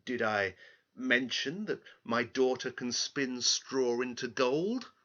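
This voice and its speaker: A man's voice: mid-range voice, expressive, slow pace, sharp tone, muffled recording. A man voicing a poor old farmer in a fairy tale, eager, obsequious and boastful.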